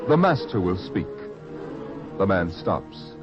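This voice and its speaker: A man's voice: deep voice, big pitch swings. Dramatic male radio narrator over music. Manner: solemn, dramatic, deliberate phrasing. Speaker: announcer and narrator. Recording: dull lo-fi vintage radio recording.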